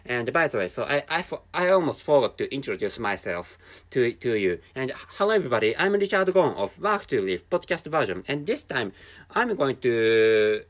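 Halting man with a Japanese accent. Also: medium pitch, sing-song. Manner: halting, many restarts and repeated words. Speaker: podcast host. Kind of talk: casual solo podcast monologue. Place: at home, drinking a canned drink while recording.

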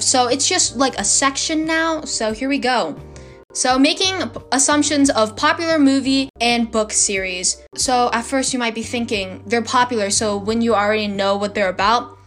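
A teenage girl chats into her phone for her solo podcast, dramatic and quick to poke fun at herself. Her voice sits a touch high and sounds slightly worn from talking so much, with faint music underneath. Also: a bit bright. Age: teens. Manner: chatty, dramatic, self-mocking. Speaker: podcast host. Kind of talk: casual solo podcast chat.

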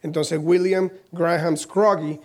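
A slightly hoarse man preaching a conversational sermon in a church, with humorous, self-deprecating asides. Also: fairly high pitch, fairly even intonation, slow pace. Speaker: pastor. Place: church in Costa Rica.

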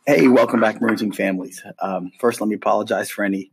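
A man who teaches middle school social studies gives families his weekly podcast update. He is hoarse from a cold and chats casually, with frequent ums and restarts. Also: fairly low pitch, steady tone, fast talker, noisy background.

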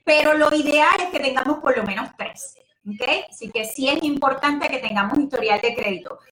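A woman's voice: high-pitched, normal speed, fairly bright tone. A Puerto Rican woman giving casual advice as a host, chatty and familiar, with affectionate diminutives and the odd English word. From Puerto Rico. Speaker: host and home loan advisor. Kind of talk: casual advice talk to a live audience online. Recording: roomy echo, distant microphone.

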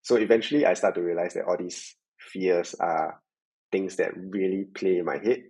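Male podcast guest, young adult, Singaporean accent, low husky voice, reflective answers. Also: steady tone, moderate pace, darkish tone, low-quality recording. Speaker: podcast guest, tech sales worker. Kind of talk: reflective interview conversation.

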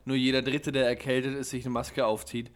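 A man's voice: mid-range voice, flat delivery, fairly quick. A young man from Germany, chatting casually, teasing and often restarting his sentences. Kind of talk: casual chat.

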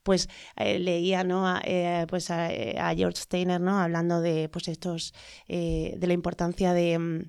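A woman's voice: fairly low pitch, completely flat delivery, unhurried, creaky, darkish tone, clear, resonant tone, no echo, clean studio sound. A middle-aged woman with a Spanish accent from Spain, speaking as an interview guest in a reflective, hesitant way with many fillers and tag questions.

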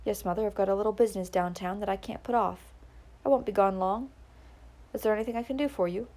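A woman's voice: mid-range voice, quick pace, darkish tone, natural voice. A woman voicing a determined young sweetheart. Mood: coaxing. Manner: coaxing, earnest.

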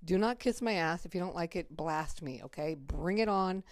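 Low-voiced raspy woman, blunt and chatty. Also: moderate pace, no room sound, clean studio sound. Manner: chatty, blunt, swears freely. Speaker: podcast host. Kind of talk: casual chat.

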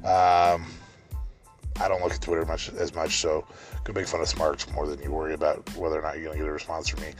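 A man with a deep voice hosting a solo podcast, rambling through a wrestling review with many ums and restarts. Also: little pitch movement, a little fast, soft background music. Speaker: podcast host.

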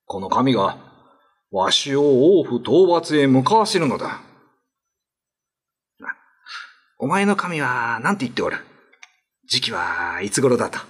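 A man's voice: medium pitch, sing-song, measured pace, pauses often, slightly rough. A man playing a greedy, foolish, ambitious island lord in a radio drama, with pompous, old-fashioned lordly speech. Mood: greedy, scheming.

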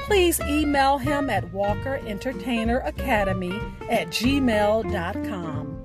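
A woman with a fairly high voice hosts a scripted storytelling podcast. She speaks very slowly, enunciating each phrase with deliberate emphasis. Music plays clearly behind her words. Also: animated. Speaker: podcast host. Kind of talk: scripted podcast outro with spoken poetry.

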